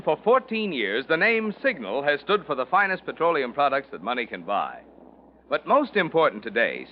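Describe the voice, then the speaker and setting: A man announcing a sponsor message in the emphatic, salesmanlike style of 1940s radio commercials. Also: on the high side. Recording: muffled, faintly noisy 1940s radio recording.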